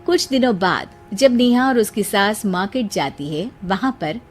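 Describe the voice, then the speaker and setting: A woman reading scripted narration as the storyteller of an animated moral tale. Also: medium pitch, measured pace, soft background music.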